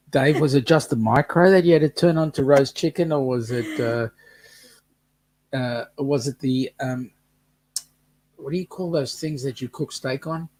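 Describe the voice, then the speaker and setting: A man's voice: medium pitch, unhurried, long pauses, darkish tone, low-quality recording. A man, co-hosting a casual live-stream chat and joking playfully with his wife. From Australia. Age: late 50s. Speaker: co-host.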